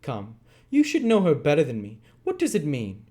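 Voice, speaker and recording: A gruff, bullying robber, as a man reads him. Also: fairly high pitch, wildly swooping pitch, moderate pace, pitched above the performer's own voice. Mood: grumbling. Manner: loud, gruff and threatening. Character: brutal, bullying grown male robber. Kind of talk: dramatic read-aloud.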